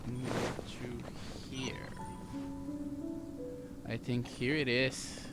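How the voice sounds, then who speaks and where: Casting: male game streamer, unhurried and halting, chatting casually with loud game music behind. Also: mid-range voice, level tone. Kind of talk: casual gameplay commentary. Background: video game music.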